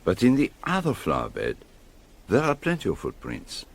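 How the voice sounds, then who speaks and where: An actor playing a courteous, shrewd, theatrical middle-aged detective with a French-speaking Belgian accent in a radio drama. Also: male voice, fairly low pitch, wildly swooping pitch, normal speed, gravelly, breathy edge, exaggerated voice. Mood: musing, shrewd.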